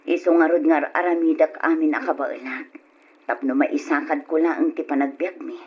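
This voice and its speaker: A woman acting a humble, long-suffering mother and struggling wife in an old radio drama, in a husky voice. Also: deep voice, fairly even intonation, normal speed, slight background noise, natural voice. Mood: downcast. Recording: dull lo-fi old radio recording.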